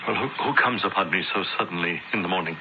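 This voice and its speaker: Zealous ancient high priest, proud prophet of doom, declamatory, on a noisy muffled radio recording. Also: male voice, fast talker. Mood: startled, haughty. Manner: declamatory, archaic oratory. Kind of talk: radio drama acting. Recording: muffled, noisy old radio broadcast, echoey shouting.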